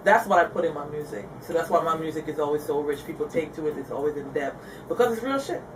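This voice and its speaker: A woman's voice: sits low, expressive, quick pace, recorded from a distance, background noise. Easygoing woman rapper from Guyana. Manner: laughing, easygoing, candid. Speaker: rapper guest. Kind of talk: casual interview chat.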